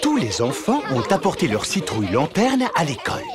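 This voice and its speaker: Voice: medium pitch, huge pitch swings, fairly quick, music in the background. A narrator reading scripted narration for a children's cartoon.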